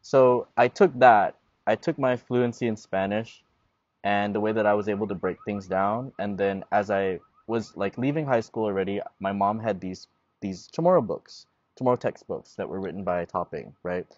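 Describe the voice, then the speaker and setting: A man in his twenties, a guest in a relaxed outdoor panel talk, explaining at length and gesturing as he speaks. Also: fairly low pitch, normal speed, no room sound, dull audio. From Guam. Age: 20s. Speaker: guest, Chamorro language learner. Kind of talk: casual panel conversation. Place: outdoors at a table in a leafy garden. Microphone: lapel mic.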